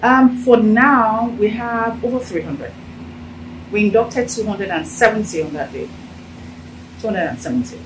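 A middle-aged Nigerian woman with a Nigerian accent, telling her story in a casual, animated interview in an office. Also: medium pitch, a little slow, far from the mic, background noise. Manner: animated, gesturing while talking. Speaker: interviewee, business owner. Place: indoor office with sofa. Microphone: handheld phone held out by interviewer.

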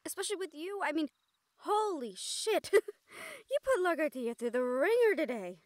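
A woman playing an excitable, caring young mage in an intimate audio roleplay, with animated, halting delivery. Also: high voice, no room sound, studio quality. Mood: delighted, proud. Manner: animated, halting, swearing freely.

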